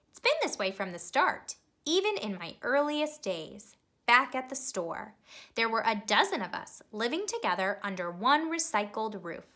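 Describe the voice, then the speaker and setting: A woman reading a children's picture book aloud, telling it expressively and pausing to ask young listeners questions. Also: on the high side, pushed and bright. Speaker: storybook reader.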